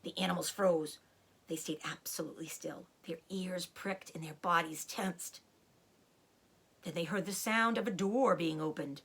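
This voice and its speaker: A woman reading a children's book aloud in an expressive, dramatic way, with short asides about the pictures. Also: on the low side, a little slow, slightly rough. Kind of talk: animated storybook read-aloud with picture asides.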